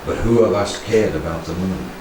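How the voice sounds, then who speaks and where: Echoey older man solemnly reciting poetry. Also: low voice. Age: 60s. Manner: measured, dramatic phrasing with pauses. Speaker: poetry reader. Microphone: handheld stage microphone. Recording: very echoey room, distant, faint noise.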